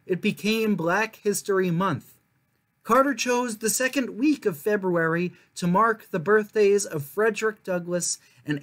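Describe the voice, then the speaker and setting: A young adult man reading aloud as a children's storytime host, with careful, emphatic delivery. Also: high-pitched. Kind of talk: reading a picture book aloud to viewers.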